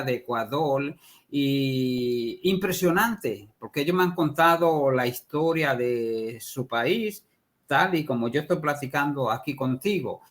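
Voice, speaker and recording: Enthusiastic Puerto Rican host, fairly high voice. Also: male voice. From Puerto Rico. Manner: enthusiastic, affirming. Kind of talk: conversational interview.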